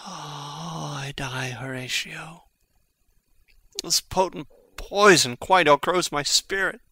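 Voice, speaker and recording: A man acting a dying young prince in a classical tragedy, declaiming in a halting, anguished and urgent way. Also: fairly high pitch, steady tone, bright, forceful tone, breathy edge, dry recording, studio quality, heavily acted. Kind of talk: classical stage tragedy, dramatic audiobook reading.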